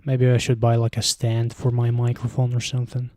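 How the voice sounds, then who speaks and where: Bulgarian accent dude rambling on his stream, warm low-key tone, lots of uh and you know. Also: monotone, fairly quick, no room sound, studio quality. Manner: rambling, hesitant, many fillers and restarts. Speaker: live streamer. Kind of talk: casual live stream chat. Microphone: handheld microphone close to mouth.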